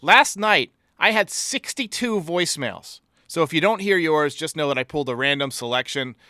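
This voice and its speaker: Bright, crisp-voiced male sports podcast host. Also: a little high, lively intonation, moderate pace, dry recording, studio quality. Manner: blunt, sarcastic. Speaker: host of a baseball fan podcast. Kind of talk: opinionated sports commentary.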